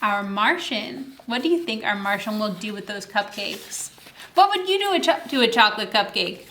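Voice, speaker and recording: A woman hosting story time, reading a picture book to young children in an animated, questioning way. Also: mid-range voice, moderate pace, a little hiss or hum.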